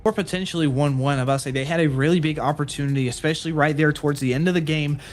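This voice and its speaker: A man's voice: on the high side, fairly even intonation, rapid-fire, faint music behind. A man casting a live esports stream, talking in earnest, repetitive hype with some fillers. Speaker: esports caster.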